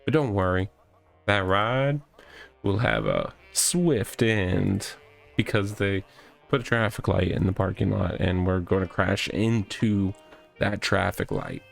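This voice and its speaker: A man's voice: sits low, lively intonation, takes it slowly, vocal fry, soft background music, full character voice. A man voicing a pompous, delusional self-styled cop in a visual novel, with a theatrical, wordy, rambling delivery. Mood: rambling, menacing. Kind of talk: visual novel character reading.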